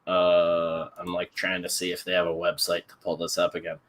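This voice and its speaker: A man's voice: deep voice, completely flat delivery, moderate pace, fairly bright tone. A young man co-hosting a casual chat, rambling with many fillers and some swearing. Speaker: podcast co-host.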